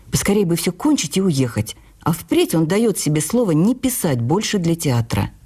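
An actress playing a woman writer recalling her youth in an expressive, literary radio memoir reading. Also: lowish voice, breathy edge.

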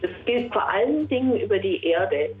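A woman's voice: medium pitch, lively intonation, moderate pace, soft background music. A woman speaking as an expert, giving an explanatory statement. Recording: muffled phone-like sound, echoey room.

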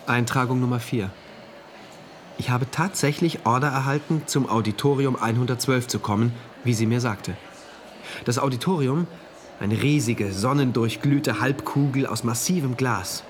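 An actor playing an earnest, devout citizen of a regimented future state, narrating his diary in a measured, confiding and precise way. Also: medium pitch, slight background noise, lightly acted. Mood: solemn. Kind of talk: first-person radio drama narration, diary entries.

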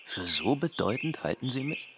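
An actor playing a guarded, laconic ex-convict in a German radio drama, answering in a terse, evasive way. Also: male voice, mid-range voice, sing-song, moderate pace, a bit bright, a little hiss or hum. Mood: guarded, wry. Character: guarded, laconic male ex-convict, once a bon vivant.

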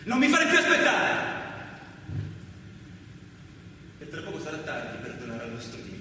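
An anguished biblical brother, heavily echoing. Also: male voice, fairly high pitch, wildly swooping pitch, fast talker. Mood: pleading, urgent. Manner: intense, lyrical, insistent repetitions. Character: anguished biblical brother, a toiling man of the land. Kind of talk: poetic theatrical radio drama. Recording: very echoey, distant, noisy hall.

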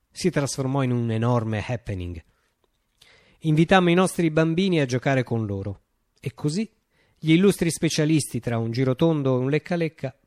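An actor playing a visionary revolutionary who recounts his utopia in a declamatory radio theatre monologue, with deliberate pauses and emphasis. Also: fairly high pitch, darkish tone, no echo, studio quality.

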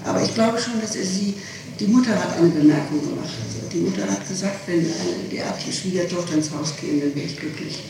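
A woman's voice: fairly low pitch, fairly quick, a crowd in the background. Woman testifying on echoey old tape. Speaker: person being questioned in an interrogation. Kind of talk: interrogation testimony, spontaneous answers. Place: echoey room, recorded from a distance. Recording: noisy, echoey 1960s tape, distant microphone.

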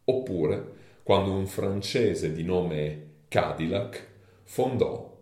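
Male presenter, low mellow voice, informal and explanatory, introducing a show in an echoey room. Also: animated, slow. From Italy. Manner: conversational, explanatory. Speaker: author introducing his show. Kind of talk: informal spoken introduction to a performance. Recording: roomy, echoing live sound.

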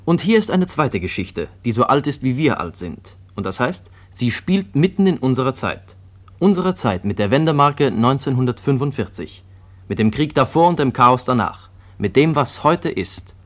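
A man's voice: medium pitch, very animated, moderate pace, close to an everyday voice. A man playing an earnest second narrator, speaking with rhetorical emphasis in a scripted radio play.

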